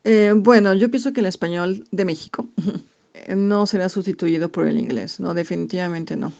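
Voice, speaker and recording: A Mexican woman, an English teacher, answering interview questions in a thoughtful, halting way with many fillers. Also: lowish voice, gravelly, darkish tone. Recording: dull lo-fi recording.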